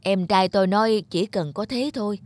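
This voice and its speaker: A woman's voice: medium pitch, normal speed, darkish tone, no room sound, close to an everyday voice. A woman playing a chatty, well-meaning married neighbour in a cartoon, gossiping with sighs. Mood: gossipy. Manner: gossipy, sighing.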